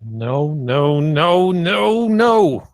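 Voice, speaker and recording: A man's voice: a little high, big pitch swings, extremely slow, dry recording, full character voice. A man playing a nervous, unsure spaceship crewman in a table read of a sci-fi script. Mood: alarmed.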